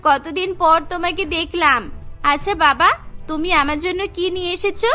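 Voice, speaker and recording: A woman's voice: very high voice, moderate pace, pushed and bright, soft background music. A woman voicing an eager, affectionate and proud little schoolgirl in a cartoon, chatty and earnest. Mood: excited, affectionate.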